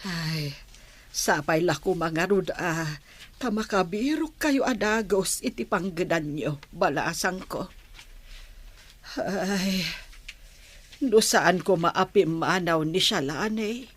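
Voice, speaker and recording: A woman's voice: lowish voice, expressive, slow, long pauses. A woman playing a sorrowful, sighing elderly mother in an Ilocano audio drama. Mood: grateful, wistful. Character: sorrowful elderly mother longing for her missing daughter.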